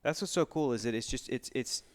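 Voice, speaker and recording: A man's voice: medium pitch, brisk. A man on a review panel chatting casually and emphatically, firing rhetorical questions and repeating himself. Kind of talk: casual chat.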